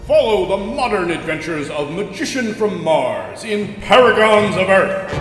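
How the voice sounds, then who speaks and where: A man's voice: high-pitched, a bit bright. A man narrating a pulp adventure audio drama in an emphatic, theatrical style. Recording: reverberant voice over music.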